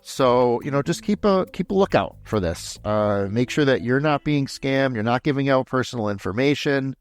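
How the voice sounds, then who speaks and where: A man's voice: medium pitch, expressive, normal speed, smooth, no room sound, soft background music. A man hosting a podcast, giving informal, conversational commentary and reading some material aloud. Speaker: podcast host. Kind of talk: casual podcast commentary on scam stories, partly read aloud.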